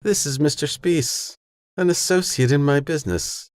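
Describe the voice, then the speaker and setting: A man voicing a formal, educated, patronizing villain in an audiobook, smoothly menacing and businesslike. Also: fairly high pitch, normal speed, no echo, clean studio sound, voice pushed up, lightly acted. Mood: courteous. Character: tall educated humorless villain, condescending businessman in glasses.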